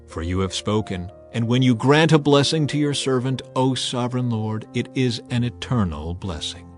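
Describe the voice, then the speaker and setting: A man acts out a humble, devout king in prayer for an audio Bible drama. He declaims earnestly in a mid-pitched voice, full of reverent awe, with music playing behind him. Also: lively intonation, normal speed, close to an everyday voice. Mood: earnest pleading. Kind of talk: dramatized scripture reading.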